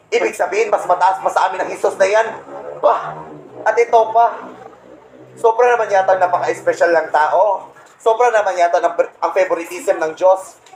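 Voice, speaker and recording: Man acting a high-voiced, boastful, resentful Satan. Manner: boastful, indignant storytelling. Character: proud, resentful Satan, a scheming fallen angel. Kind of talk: comedic radio play monologue. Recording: roomy echo, some background noise.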